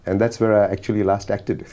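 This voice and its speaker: A man's voice: fairly low pitch, flat delivery, a little fast, warm tone. A middle-aged man from India with an Indian accent, a chatty interview guest who laughs often as he tells his story. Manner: chatty, laughs often while telling stories.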